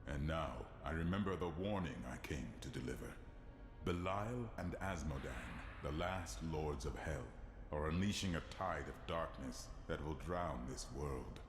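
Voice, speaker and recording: An actor playing a solemn, noble fallen archangel of justice in a video game, speaking gravely and formally. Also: male voice, very deep voice, normal speed. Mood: solemn, urgent. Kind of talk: dramatic video game voice acting. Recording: roomy echo, clear background noise.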